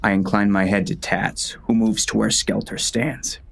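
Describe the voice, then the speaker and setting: Grim cowboy sheriff for an audiobook, creaky low voice, angry and hurting, menacing. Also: male voice, level tone, normal speed. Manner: intense, menacing. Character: grieving, furious hard-bitten western sheriff and father. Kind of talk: first-person audiobook narration, dramatic.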